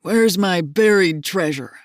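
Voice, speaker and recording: A woman's voice: lowish voice, slow, dry recording, studio quality. A woman voicing a kindly, joking old grandfather who laughs easily. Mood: jovial. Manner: warm, laughing, gently teasing.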